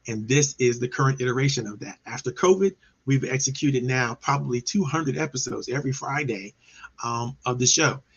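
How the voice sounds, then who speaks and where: Enthusiastic guy hosting a biz show on a video call, kinda husky, dull lo-fi sound. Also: medium pitch, normal speed, fairly bright tone. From Maryland. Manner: upbeat, enthusiastic, with frequent restarts. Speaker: host. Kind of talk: casual online business show.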